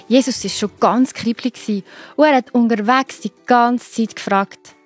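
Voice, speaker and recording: A woman's voice: on the high side, moderate pace. A woman with a Swiss German accent telling a story to children, explaining it simply and expressively. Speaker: narrator. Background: music.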